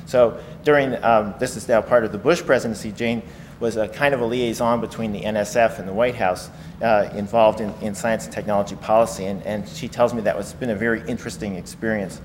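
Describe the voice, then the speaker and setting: A man introducing a guest speaker in a lecture hall, halting and full of fillers. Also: on the low side, steady tone. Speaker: event host introducing a speaker. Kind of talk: formal introduction. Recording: reverberant hall, faint noise.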